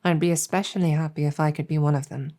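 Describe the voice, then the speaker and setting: A woman playing a gentle, earnest, world-weary demon general in an ASMR roleplay, speaking softly and reassuringly with measured hesitation. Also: sits low, fairly even intonation, natural voice. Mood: tender, hopeful. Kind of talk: improvised ASMR roleplay storytelling.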